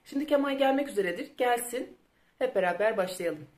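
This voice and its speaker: A woman's voice: on the high side, lively intonation, a little fast, a little mellow. A woman in her thirties chatting casually to her phone camera in her living room, explaining plainly. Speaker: home vlogger. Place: living room at home, indoors. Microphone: phone at arm's length.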